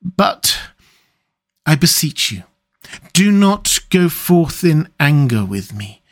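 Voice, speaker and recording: A man's voice: a little high, animated, unhurried, a bit bright, pitched above the performer's own voice. A man voicing a grave, stern but compassionate old professor in an audiobook, speaking in solemn, earnest, formal phrases. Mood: pleading, tender. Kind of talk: dramatic audiobook character reading.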